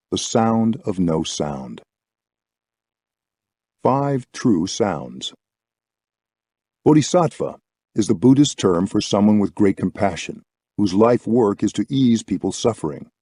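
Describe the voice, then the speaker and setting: A man reading a contemplative audiobook as scripted narration, in a measured way. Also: on the low side, warm tone, no echo, clean studio sound. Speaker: audiobook reader.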